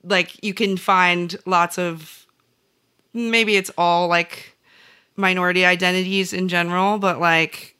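A woman's voice: on the low side, fairly even intonation, slow, bright, forceful tone, smooth. A woman with an American accent chatting casually as a podcast host. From central Illinois, United States. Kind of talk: casual chat.

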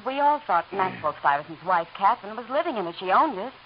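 An actress playing a brisk, composed young female research assistant in a 1940s radio drama. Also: medium pitch, sing-song, slight background noise, close to an everyday voice. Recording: muffled old radio recording.

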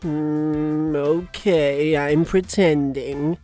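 An actor voicing a dull, pedantic male radio DJ in a children's comedy audio drama, deadpan and painstakingly literal. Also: fairly high pitch, drawn-out words, faint music behind. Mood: flat, deadpan.

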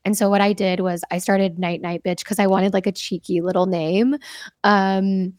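A woman's voice: medium pitch, level tone, normal speed, a little mellow, dry recording, clean studio sound. A young woman chatting earnestly as a podcast guest, laughing easily as she talks about her work. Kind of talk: casual interview chat.

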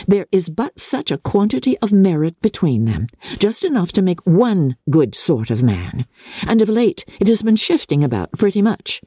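Woman voicing a witty, spirited young gentlewoman. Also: on the low side, slightly rough, no room sound, studio quality. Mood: wry teasing. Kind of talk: dramatic classic novel reading.

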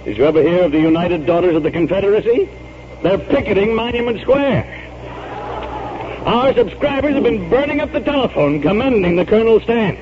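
An actor playing a gruff, impatient, sarcastic newspaper editor in 1940s radio style. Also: male voice, high-pitched, animated, brisk. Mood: exasperated. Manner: brusque, sarcastic. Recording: dull, hissy 1940s radio recording.

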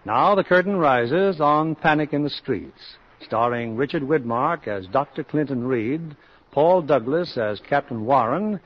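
A man hosting with a scripted 1950s radio introduction in a formal, measured showman's manner. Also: medium pitch, lively intonation. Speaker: host. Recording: muffled vintage radio broadcast.

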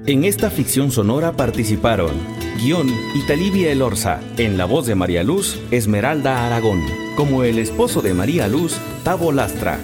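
A man's voice: medium pitch, very animated, moderate pace, over music. A man reading scripted cast credits as an announcer.

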